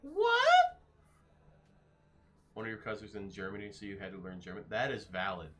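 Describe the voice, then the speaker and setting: Bright-voiced man chatting with his stream. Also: on the low side, huge pitch swings, normal speed. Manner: loose and joking, answers viewers by name. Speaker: streamer reading chat. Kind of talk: casual chat.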